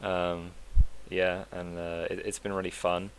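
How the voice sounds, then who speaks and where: A man co-hosting a casual podcast, giving his opinion in a relaxed, loose way with some swearing. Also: low voice, a little slow, smooth, poor audio. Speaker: podcast co-host. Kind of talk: casual chat.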